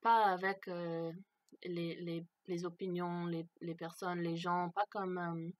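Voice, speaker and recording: A woman's voice: fairly low pitch, level tone. A woman for whom French is not her first language, speaking unscripted to camera, hesitant and searching for words with many fillers. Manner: slow, thoughtful. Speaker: vlogger. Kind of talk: unscripted personal talk to camera.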